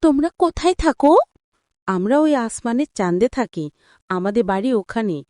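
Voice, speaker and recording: A woman voicing a kindly woman from the moon in a cartoon, soft and reassuring. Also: fairly high pitch, lively intonation, normal speed, no echo, studio quality, lightly acted. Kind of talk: cartoon voice acting.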